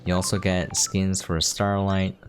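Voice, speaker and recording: A man with a deep voice talks through a game as he plays it, casual and offhand as he explains how things work. Faint noise and ambience sit behind him. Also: monotone, a little slow. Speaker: game preview host. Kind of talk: casual gameplay commentary.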